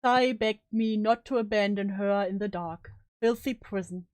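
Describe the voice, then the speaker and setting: A woman's voice: mid-range voice, normal speed, darkish tone, no echo, voice pushed down, lightly acted. A woman playing a guilt-ridden, despairing young student in a solemn, melodramatic story reading. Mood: grim, sorrowful. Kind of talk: dramatic reading of story narration.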